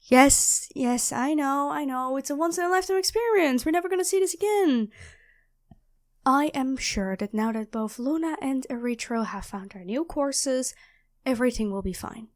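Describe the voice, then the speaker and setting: A woman playing a nerdy, chatty young student in an audio roleplay, expressive and flustered, often apologizing and correcting herself. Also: sits high, moderate pace. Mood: apologetic, insistent. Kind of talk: audio roleplay acting addressed to the listener.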